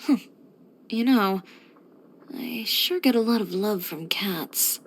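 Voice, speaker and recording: A self-assured young boutique tailor from a video game. She is blunt and headstrong yet composed, speaking in a mid-pitched voice with a matter-of-fact, dryly confident delivery. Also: slow pace, slight background noise. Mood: dry, candid. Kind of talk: video game character voice lines.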